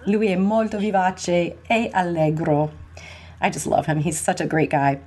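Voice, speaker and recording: A woman's voice: on the low side, steady tone, normal speed, darkish tone. A woman hosting a podcast in a scripted, upbeat way, mixing Italian phrases into her English. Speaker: podcast host.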